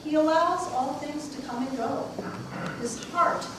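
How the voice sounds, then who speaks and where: A woman playing a solemn storyteller, delivering scripted narration of calm wisdom for a puppet show. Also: high voice, a little slow. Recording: very echoey, distant, background noise.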